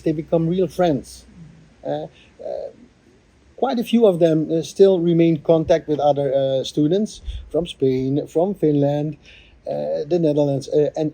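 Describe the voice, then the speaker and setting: A man, a workshop coordinator, giving a reflective interview answer in a halting way, with many fillers and restarts. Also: a little high, warm tone, no room sound, rough recording.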